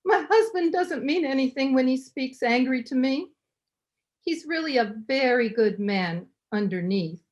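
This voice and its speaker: A woman playing a gentle, anxious, devoted wife in an old-time radio melodrama, pleading and timid. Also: high-pitched, expressive, a little slow. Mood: forgiving. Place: remote recording over Zoom.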